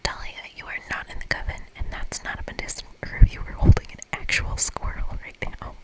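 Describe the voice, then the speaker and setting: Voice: fairly quick, a bit bright, natural voice. A performer voicing an earnest young witch woman in a whisper, matter-of-fact and primly correcting, in an ASMR game reading. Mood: exasperated.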